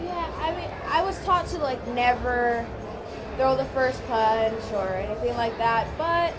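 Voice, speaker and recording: A woman's voice: on the high side, a little slow, crowd noise. A young woman from Hawaii, a slap fighter being interviewed in a casino, talking easygoing and laughing often. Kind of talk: casual interview. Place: casino, busy public area. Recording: noisy crowded casino background.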